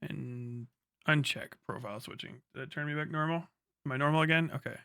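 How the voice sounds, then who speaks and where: Bright-voiced man chatting on a live stream. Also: a little high, brisk, vocal fry, no echo, clean studio sound. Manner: rambling, sweary, jokey. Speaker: livestreamer. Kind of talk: casual live-stream chat with viewers.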